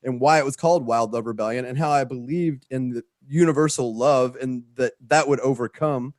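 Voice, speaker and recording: A man's voice: mid-range voice, a little fast, darkish tone, dry recording. A man talking casually and earnestly to a group, swearing freely and often doubling back on his phrases. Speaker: writer and filmmaker. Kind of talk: casual heartfelt talk to a group.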